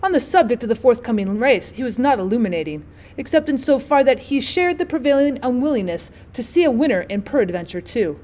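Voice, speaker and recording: Female audiobook narrator, teacher reading literature aloud, voice on the high side. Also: big pitch swings, a little fast, darkish tone.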